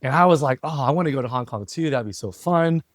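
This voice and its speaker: A man's voice: medium pitch, lively intonation, quick pace, dry recording. Middle-aged American man, close dry sound. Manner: reflective, talkative, often seeking agreement with tag questions. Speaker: interview guest, entrepreneur and former CEO. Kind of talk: casual conversational interview.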